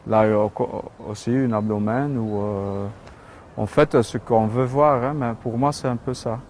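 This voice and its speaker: A man's voice: mid-range voice, fairly even intonation, normal speed, darkish tone, slight background noise. A Belgian man with a Flemish accent, speaking French hesitantly with many fillers and restarts as he shows his metal sculptures. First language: Dutch. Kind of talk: casual studio tour.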